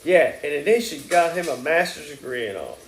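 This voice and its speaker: A man's voice: fairly high pitch, lively intonation, a little fast, roomy sound, a little hiss or hum, poor audio. A man reading aloud and voicing a chatty, doting middle-aged Southern mother in a gushing, folksy way. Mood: fussy gossip.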